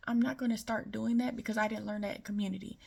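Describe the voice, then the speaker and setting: A woman from Detroit with a light Southern accent speaks in a casual, opinionated monologue. Her delivery stays fairly level in pitch, with a gravelly fry under many words. She sounds frank and conversational. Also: steady tone, fast, darkish tone. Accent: light Southern American. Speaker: commentator.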